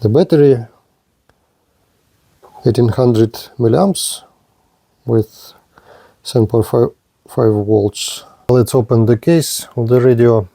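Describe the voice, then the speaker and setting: A man presents a hands-on product demonstration in a soft-edged, mellow voice. His delivery is slow and halting, broken into short fragments as he goes step by step. The sound is dry and close, with very little room to it. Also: medium pitch, gravelly, dry recording. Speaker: gadget reviewer presenting a radio.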